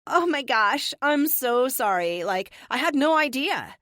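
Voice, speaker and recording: A woman voicing a sassy, flippant, theatrically bold American heroine in an audiobook, sarcastic and animated. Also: high voice, moderate pace, dry recording, clean studio sound, voice pushed up. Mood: mocking.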